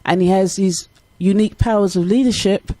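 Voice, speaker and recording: A woman's voice: mid-range voice, fairly quick, warm tone, dry recording. A woman hosting a conversational radio interview, speaking in an encouraging, affirming manner. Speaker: radio show host. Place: radio studio.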